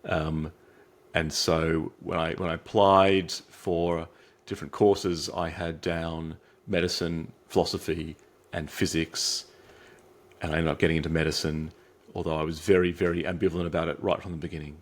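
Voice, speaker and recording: A man's voice: low voice, little pitch movement, moderate pace. An Australian man as an interview guest, talking in a reflective way with many restarts and fillers. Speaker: guest, psychiatric trainee. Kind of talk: interview answers.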